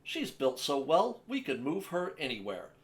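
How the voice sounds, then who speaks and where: A man's voice: a little high, huge pitch swings, normal speed, pitched above the performer's own voice. A man reading the part of a confident, practical house mover in a children's storybook, assured and emphatic.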